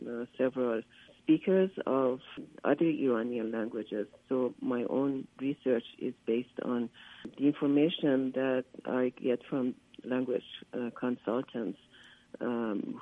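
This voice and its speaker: A man whose first language is Persian, a linguist giving a radio interview by phone, often pausing to search for words. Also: medium pitch, no room sound. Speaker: linguist and conference organizer, interview guest. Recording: tinny, dry phone line.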